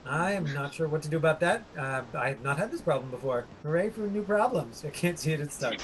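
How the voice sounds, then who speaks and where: A man with a husky voice working as an acting coach, giving an informal online lesson in a halting, rambling way. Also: on the high side, expressive, slight background noise, rough recording. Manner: halting, rambling explanations full of tangents. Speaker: acting coach teaching a class.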